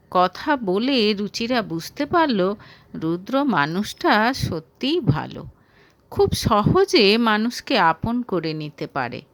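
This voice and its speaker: A woman's voice: medium pitch, a bit bright. A woman reading a romantic story aloud as scripted narration.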